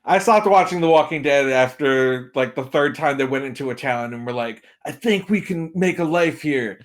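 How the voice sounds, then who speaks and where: A man's voice: mid-range voice, moderate pace. A man chatting casually and giving emphatic opinions as a podcast guest, repeating points for effect. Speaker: podcast guest, film and game pundit.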